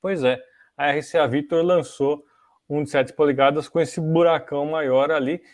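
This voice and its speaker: Male podcast host, Brazilian accent. Slightly high voice, dry close sound, conversational explainer who keeps seeking agreement. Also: steady tone, normal speed, a little mellow, dry recording. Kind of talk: casual explanatory talk on music technology history.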